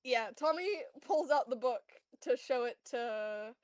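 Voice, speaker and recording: A woman's voice: fairly high pitch, a little slow, dry recording, clean studio sound. A woman playing in a tabletop role-playing game, chatting casually.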